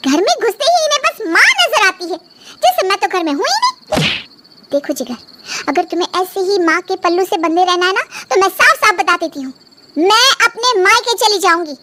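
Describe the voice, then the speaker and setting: A woman's voice: very high voice, lively intonation, moderate pace, very noisy, pitched above the performer's own voice. A woman voicing a sulky, defiant young newlywed wife who complains in a whining, dramatic way, in a melodramatic story.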